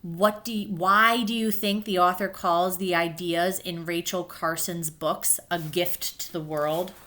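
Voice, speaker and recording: A woman teacher reading a children's book aloud and pausing to explain it in simple words for young pupils. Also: on the low side, steady tone, sharp tone.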